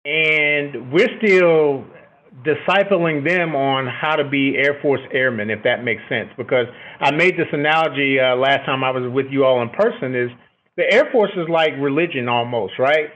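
Over a narrow, phone-like connection, a middle-aged man talks as an interview guest. He has a booming voice at an average pitch. His delivery is conversational and reflective, often checking that the listener follows. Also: moderate pace, a bit bright. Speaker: military officer, podcast guest. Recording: thin, phone-like band-limited line.

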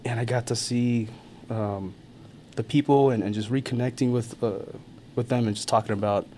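A man, an army NCO born in Thailand and raised in America, talking, relaxed, chuckling often and filling gaps with "uh" and "um". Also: medium pitch, normal speed, slight background noise. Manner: easygoing, chuckles often, many fillers and restarts. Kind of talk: casual interview talk.

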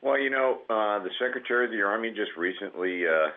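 A man with a cold, sounding scratchy and nasal, speaking as a guest in measured remarks with many pauses. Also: lowish voice, animated. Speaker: senior army enlisted leader, guest. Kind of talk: conference call remarks. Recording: tinny phone line.

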